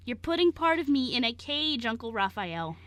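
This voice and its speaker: A woman playing a cheeky, defiant eighteen-year-old queer young man in an amateur radio play, arguing in an animated way. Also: fairly high pitch, measured pace, a little hiss or hum. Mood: earnest. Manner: animated, argumentative.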